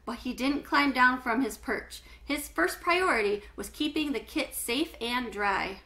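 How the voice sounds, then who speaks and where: A middle-aged woman reading a children's book aloud with animated, clearly phrased storytelling. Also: high voice, a little slow. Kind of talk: expressive children's book read-aloud narration. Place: small home living room, indoors.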